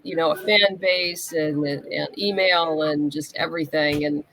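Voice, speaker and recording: A woman's voice: deep voice, fairly even intonation, unhurried, fairly bright tone, a little hiss or hum, rough recording. A woman being interviewed, chatting casually and explaining things with plenty of "you know". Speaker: interview guest, comic writer.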